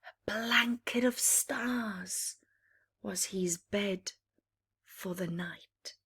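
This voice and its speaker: A woman reads a children's picture book as a storyteller. Her pace is extremely slow and her voice has a husky, breathy edge. She is dramatic and expressive, dropping into hushed explanatory asides. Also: medium pitch.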